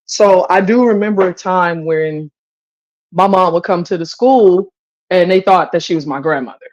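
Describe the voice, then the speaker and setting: A woman's voice: on the low side, moderate pace, a little mellow, highs cut off. A woman in her forties giving opinions in a candid, casual talk show conversation. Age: 40s. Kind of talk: candid casual open-forum chat.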